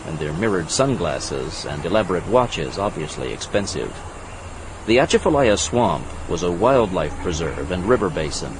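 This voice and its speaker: A man's voice: deep voice, noisy background. A man reading scripted, dramatic narration for an action thriller audiobook. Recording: loud vehicle and outdoor effects behind.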